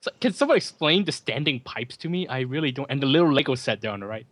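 A man in a dry, close recording talks casually over a movie. His delivery is joking and sardonic, halting now and then as he repeats words. His pitch sits in an ordinary male range. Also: lively intonation, fairly bright tone, no echo. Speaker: film commentary podcast host. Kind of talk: casual chat, running movie commentary.

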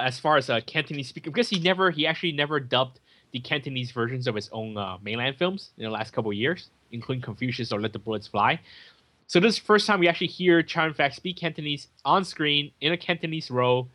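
A man with a bright, forward tone talks casually about a film on a podcast. He rambles a bit, filling gaps with ums and restarting his sentences. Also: mid-range voice, lively intonation, fast. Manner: chatty, many fillers and restarts.

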